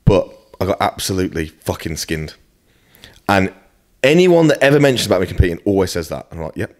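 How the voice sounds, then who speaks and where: Deep-voiced British man, blunt and sweary. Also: big pitch swings, brisk, darkish tone. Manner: blunt, sweary, self-deprecating. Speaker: podcast guest, fitness coach. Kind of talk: casual chat.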